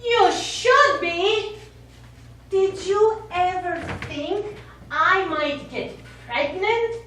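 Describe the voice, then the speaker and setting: A proud, bitter middle-aged Italian woman played by an actress accuses in terse, scornful phrases. Her voice is high and bright, caught from far off in a very reverberant theatre. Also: lively intonation, extremely slow, exaggerated voice. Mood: angry accusing. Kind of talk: stage play acting. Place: small theatre stage, recorded from afar. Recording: echoey stage, distant mic, faint noise.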